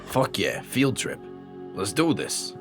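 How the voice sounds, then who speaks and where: A man's voice: medium pitch, big pitch swings, takes it slowly. A man playing a cheeky, laid-back police sidekick in an audio drama. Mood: cheeky, eager. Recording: music clearly behind.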